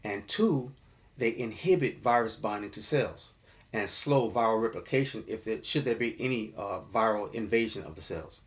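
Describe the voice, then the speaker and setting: A man's voice: lowish voice, animated, moderate pace. A man giving an informal explainer talk about an herbal formula, often checking in with the listener by asking "okay?" and calling the audience fam. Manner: casual, addresses listeners as fam.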